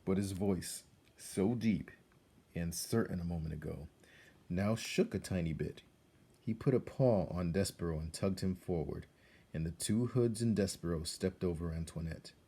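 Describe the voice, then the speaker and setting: A man reading a children's story aloud as narrator, expressive and measured, with dramatic delivery. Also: low voice, husky. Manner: measured, dramatic, addresses the listener.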